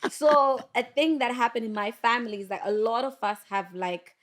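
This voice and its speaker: A woman in her thirties from Zimbabwe, a guest telling stories with lively energy in a podcast studio. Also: fairly high pitch, normal speed. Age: 30s. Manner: lively storytelling, acting out voices. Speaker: guest broadcaster. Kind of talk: casual conversation. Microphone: studio mic.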